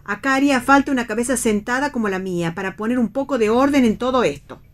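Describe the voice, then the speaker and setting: A woman's voice: on the high side, fast talker, close to an everyday voice. An actress playing a chatty, inquisitive and naive mother with old-fashioned, homely tastes in a radio play reading. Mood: chatty, fussing. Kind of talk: radio read theatre dialogue.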